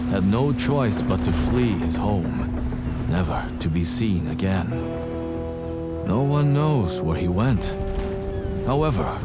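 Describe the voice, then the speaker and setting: A man playing a solemn storyteller who recounts an old legend, narrating a video game with grave weight. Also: lowish voice. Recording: narration over loud music.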